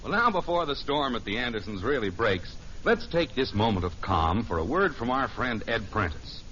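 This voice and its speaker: A man's voice: mid-range voice, very animated, normal speed, noisy background. An American man giving wry, scripted narration as announcer of a 1950s radio show. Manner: wry, storytelling. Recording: muffled old radio recording.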